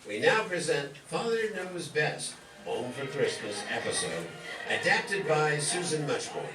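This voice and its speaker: A man's voice: a little high, very animated, a little slow, music in the background. A man reading a scripted introduction as a radio show announcer. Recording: roomy, distant mic, clear noise.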